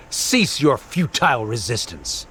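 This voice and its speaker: Guy playing a snooty stern scholar official in a game, condescending, really varied pitch. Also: expressive, a little hiss or hum, close to an everyday voice. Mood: haughty. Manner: formal, haughty, deliberate wording. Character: pompous, stern male academic official, coldly condescending. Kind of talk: video game voice acting.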